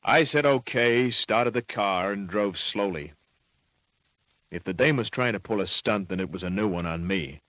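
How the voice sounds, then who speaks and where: A man's voice: on the low side, moderate pace, fairly bright tone, no echo, close to an everyday voice. A man playing a cynical, wisecracking private detective in an old-time radio drama, with a slangy, clipped, world-weary delivery. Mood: wry narrating. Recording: vintage radio drama recording.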